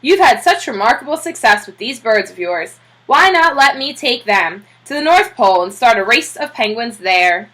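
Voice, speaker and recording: Woman acting a hearty explorer admiral, bright and lively. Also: a little high, moderate pace, few pauses. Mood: hearty, confident. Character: Admiral Drake, great bearded hearty explorer man.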